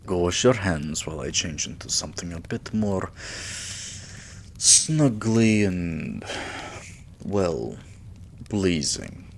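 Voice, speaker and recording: A man playing a doting, possessive vampire king in an intimate ASMR roleplay, breathy and soothing, coaxing with pet names. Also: sits low, big pitch swings, slow, long pauses, a little hiss or hum, exaggerated voice. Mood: teasing, affectionate. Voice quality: breathy, whispery.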